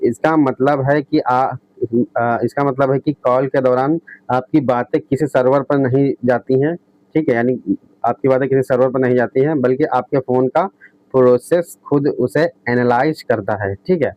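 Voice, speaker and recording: A man's voice: medium pitch, moderate pace, dry recording. A man explaining tech as a video host, reading out points and restating them with frequent checking tags. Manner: repetitive, often adds a checking tag. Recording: dull lo-fi close recording.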